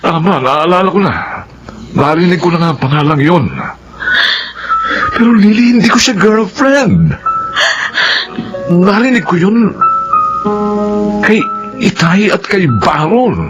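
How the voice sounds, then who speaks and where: An actor playing an innocent, easily flustered boyfriend in a melodramatic Tagalog drama, with earnest, hesitant delivery. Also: male voice, high-pitched, expressive, unhurried, pauses often, dull audio, music in the background. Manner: stammering, earnest. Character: flustered, innocent boyfriend wrongly accused, pleading.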